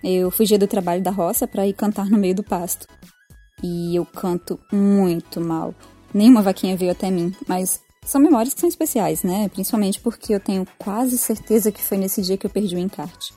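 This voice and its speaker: A woman's voice: mid-range voice, moderate pace, warm tone, soft background music. A Brazilian woman giving an informal spoken reflection, hesitant and self-deprecating as she goes. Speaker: podcast commentator.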